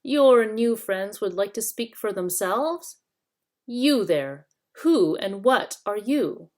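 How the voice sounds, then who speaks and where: A woman voicing a learned male doctor with a formal, measured, politely probing manner in a storybook. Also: mid-range voice, expressive, darkish tone, pitched above the performer's own voice.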